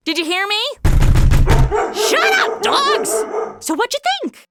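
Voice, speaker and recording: A woman playing a brash, excitable, chatty young woman who hosts a comedy audio drama. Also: very high voice, slow, very noisy, heavily acted. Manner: exclamatory, chatty, teasing. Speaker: show's lead and host.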